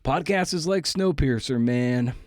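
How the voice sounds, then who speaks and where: A man hosting a podcast in a casual, confessional monologue, halting and self-deprecating, with casual swearing. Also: medium pitch, a little mellow, no room sound, studio quality. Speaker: podcast host. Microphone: close podcast microphone.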